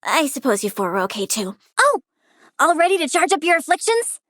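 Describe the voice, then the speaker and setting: A woman's voice: sits high, sing-song, fairly quick, a bit bright, dry recording, studio quality. A woman voicing a childlike, sweet and quirky girl plant villain with gleeful menace, as a video game character. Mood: dry, playful. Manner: cutesy, childlike, matter-of-fact about menace.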